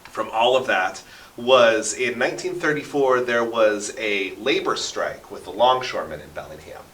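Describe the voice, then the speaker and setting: A man's voice: mid-range voice, moderate pace, a bit bright, recorded from a distance. A man talking as an interview guest in a conversational storytelling style, emphatic and often checking in with the listener. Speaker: interview guest, radio drama producer and local history enthusiast. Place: radio studio. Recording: roomy, echoing, away from the mic.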